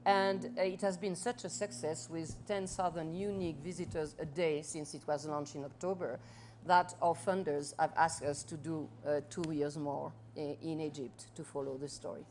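A woman's voice: low-pitched, steady tone, normal speed, a little mellow, a little hiss or hum. A woman in her fifties from France with a French accent, speaking earnestly on a panel under a large tent, often restarting and repeating her words. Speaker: foundation head on a panel. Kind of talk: panel talk to a live audience. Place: large festival tent with audience.

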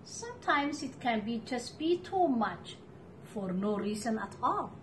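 A middle-aged woman reading a picture book aloud to children, with deliberate, expressive phrasing and pauses. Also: a little high, a little hiss or hum, rough recording. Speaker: storytime reader for children. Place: living room at home, indoors.

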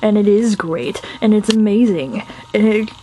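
A woman's voice: mid-range voice, moderate pace, warm tone, poor audio. A woman chatting casually in a goofy, playful, rambling way as she presents her own amateur comic dub. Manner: goofy, playful, self-interrupting. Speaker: amateur comic dubber and artist. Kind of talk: casual rambling chat over drawings.

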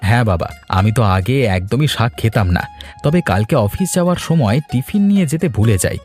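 A man's voice: medium pitch, expressive, brisk, a little mellow, soft background music, lightly acted. A man voicing a courteous, food-loving young son-in-law in a cartoon, eager and respectful with his elders. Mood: earnest, respectful. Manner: courteous, earnest. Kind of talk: Bengali cartoon dialogue dub.

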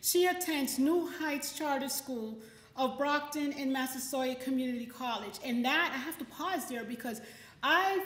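Middle aged lady at a poetry event mic, really high voice, emphatic, roomy echo. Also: measured pace, a bit bright. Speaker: poetry event host and poet. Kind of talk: reading aloud and introducing at a poetry event. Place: indoor library event room. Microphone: microphone on a stand. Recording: roomy, echoey event space.

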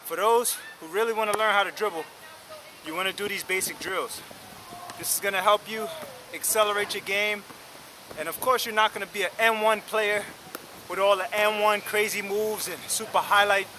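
A man in his thirties on an outdoor basketball court, talking straight to the camera in an energetic, slangy, encouraging way while tired from drills. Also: high voice, moderate pace, long pauses, a little hiss or hum. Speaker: basketball vlogger. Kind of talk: casual coaching talk to camera. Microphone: camera mic close up.

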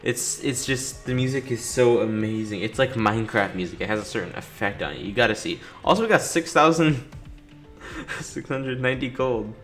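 A man hosts a casual video game playthrough in a medium-pitched voice. He jokes back at the characters and reads captions aloud. Faint game music plays behind him. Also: expressive, normal speed, fairly bright tone. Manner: joking, reacting to characters, reading captions aloud. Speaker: gaming video host. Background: video game music and sound.

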